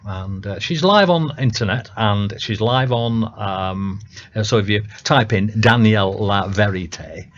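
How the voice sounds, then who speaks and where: A Northern English man talks casually about his music in a low voice. He hesitates often and restarts his sentences. The sound is dull and lo-fi, like a local radio broadcast. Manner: hesitant, many ums and restarts. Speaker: songwriter guest.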